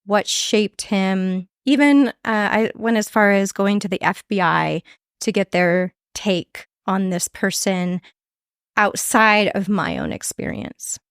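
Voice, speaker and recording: A woman from Montana, a guest author telling her story in a measured, descriptive way. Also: medium pitch, smooth, dry recording, studio quality. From Montana, USA. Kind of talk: interview storytelling.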